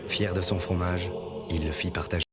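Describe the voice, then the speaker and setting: A man's voice: sits low, flat delivery, brisk. A man narrating a commercial voice-over in the style of a told tale. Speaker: advert narrator. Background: prominent music.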